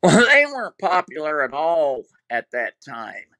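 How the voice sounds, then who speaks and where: A man's voice: a little high, wildly swooping pitch, measured pace, bright, forceful tone. An older man with a Texas accent, a former TV producer, recalling his career in an interview with many fillers and restarts. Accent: Texan. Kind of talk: reflective interview talk.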